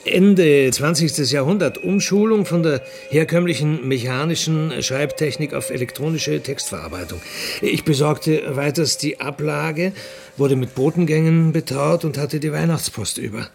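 An actor playing an awkward, bookish job applicant in a radio play, hesitant, polite and self-deprecating. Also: male voice, on the high side, moderate pace, creaky, soft background music. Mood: earnest, hesitant.

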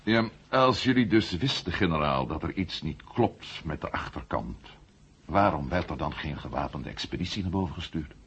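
An actor playing an indignant, insistent journalist with a husky voice in a 1970s radio play. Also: male voice, very deep voice, expressive, normal speed, fairly bright tone, full character voice. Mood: accusing, insistent. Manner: insistent, challenging. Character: indignant, sharp-minded male journalist challenging the military. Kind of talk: 1970s Dutch science fiction radio drama acting. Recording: dull vintage radio play recording.

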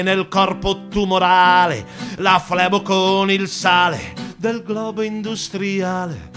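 High-voiced man singing a slow ballad. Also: pushed and bright. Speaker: singer with guitar. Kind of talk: sung acoustic protest ballad. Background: acoustic guitar.

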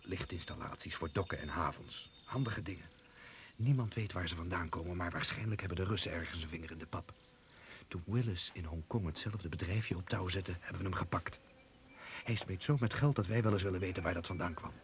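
A man's voice: sits low, a little fast, close to an everyday voice. An actor playing a sceptical, worldly British secret service man in a Dutch radio drama, giving a terse, confiding briefing. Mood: matter-of-fact, wry. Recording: noisy old radio play recording.

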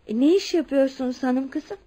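A woman's voice: high-pitched, brisk, warm tone, natural voice. An actress playing a kindly, insistent older well-off lady in a radio drama, speaking. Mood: kindly curious. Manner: motherly, addresses others as her children. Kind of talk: Turkish radio drama acting.

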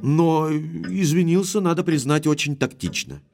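An actor playing a hot-headed, lovestruck young baronet who speaks bluntly and emphatically in an audio drama. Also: male voice, a little high, a little slow, vocal fry, darkish tone. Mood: earnest.

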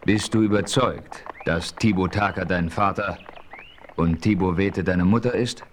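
An actor as the first-person frontier narrator of a 1970s radio play, clear and matter-of-fact. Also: male voice, low-pitched, measured pace, slight background noise. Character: adventurous frontiersman narrator, man.

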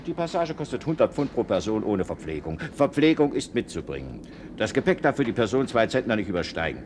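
Pompous low-voiced salesman over noise. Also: big pitch swings, brisk, a little mellow. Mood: officious, self-satisfied. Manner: officious, formal, reciting. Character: pompous, businesslike male ark builder selling passages. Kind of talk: German radio play acting.

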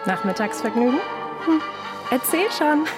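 A woman's voice: high voice, animated, normal speed, loud music behind the voice. An actress playing a nosy young woman in a radio play, playful, prying and insistent with her friend. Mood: teasing, curious.

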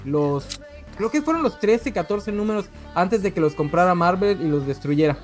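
A man's voice: sits high, animated, moderate pace, faint music behind. A man with a Mexican Spanish accent hosting a casual live-streamed comic-book chat, rambling and correcting himself as he goes. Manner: rambling, restarts often, self-correcting. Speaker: podcast host.

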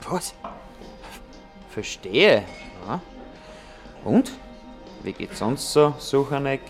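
A man's voice: medium pitch, big pitch swings, very slow, soft background music, heavily acted. A man acting as a smug, boastful grandson with an Austrian accent, breezy and needling, in a radio drama. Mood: surprised, cool.

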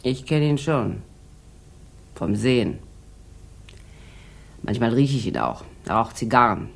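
Deep-voiced, boozy, mocking rich housewife. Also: female voice, lively intonation, gravelly, exaggerated voice. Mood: sardonic, bitter. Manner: theatrical, sardonic, drawn-out rambling delivery. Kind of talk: 1960s German radio drama acting.

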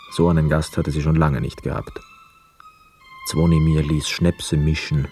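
Brooding young war returnee, deep voice, husky, measured literary narration, music underneath. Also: male voice, dark tone. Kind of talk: literary radio play narration.

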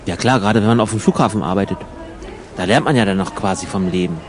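A man's voice: on the low side, fast talker, a crowd in the background, lightly acted. A man playing a matter-of-fact traveller who explains things eagerly in a conversational radio play.

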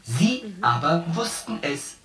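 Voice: mid-range voice, very animated, takes it slowly, sharp tone, exaggerated voice. An actor voicing a shipboard artificial intelligence in a science fiction radio play, coldly logical and pedantic as it itemizes its points. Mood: coldly analytical. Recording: roomy echo, distant voice, music behind.

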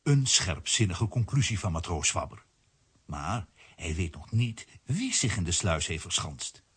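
A man's voice: low-pitched, big pitch swings, measured pace, breathy edge, full character voice. A man narrating a children's audio drama as a wry, dramatic storyteller.

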